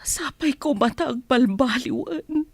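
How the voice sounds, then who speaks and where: A woman's voice: high-pitched, lively intonation, unhurried, vocal fry, no room sound, exaggerated voice. A woman acting an emotional, deferential mother and household helper in a radio drama. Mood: troubled, reflective. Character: anguished, pleading mother who serves as a household helper.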